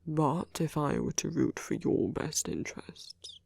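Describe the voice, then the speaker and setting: Man acting a hushed, slow, soft-hearted villain. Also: a little high, big pitch swings, gravelly, slightly rough, no room sound, heavily acted. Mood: tender, hesitant. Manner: slow, deliberate, hushed, often slipping into whispers. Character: soft-hearted villain man wooing his heroic enemy. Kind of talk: ASMR roleplay, intimate monologue. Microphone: close, dry microphone.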